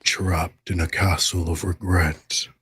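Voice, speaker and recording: Brooding game king, acted by a man, deep, heavy rasp, slow solemn delivery, full of regret. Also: flat delivery, fairly bright tone, no room sound, studio quality, full character voice.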